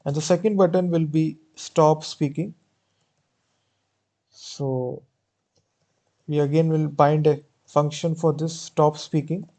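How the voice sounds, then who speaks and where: Guy walking thru code on screen, warm mellow voice, keeps saying actually and basically. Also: fairly high pitch, fairly even intonation, slow, pauses often. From India. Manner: explains step by step, repeats "actually" and "basically". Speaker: programming tutorial host. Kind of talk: screen-recorded coding walkthrough.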